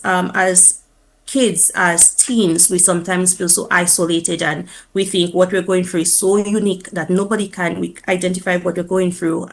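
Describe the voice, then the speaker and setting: A woman from Dominica with a Dominica accent, a teacher talking as a guest in a radio interview. She is animated and proud, laughs often, and uses fillers like "um" and "like". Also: on the low side, gravelly. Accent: Dominican (Dominica) Caribbean English.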